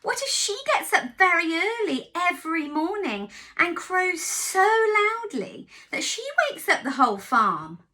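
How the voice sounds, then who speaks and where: Lady doing a loud worried rooster, high bright voice, crows. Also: big pitch swings, unhurried, hardly any pauses, full character voice. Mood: alarmed. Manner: loud, crowing, indignant. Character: proud, anxious farmyard rooster.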